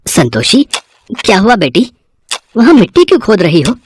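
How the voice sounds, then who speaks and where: An actor playing a kindly, concerned, devout father in a cartoon moral story, gentle and expressive. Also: sits high, normal speed, a little hiss or hum.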